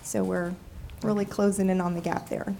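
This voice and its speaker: Woman w a cold, nasally froggy, flat mellow voice, lots of ums, city budget talk. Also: medium pitch, creaky, soft background music. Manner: measured, with frequent ums. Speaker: city deputy budget director. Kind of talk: formal budget presentation. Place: council chamber.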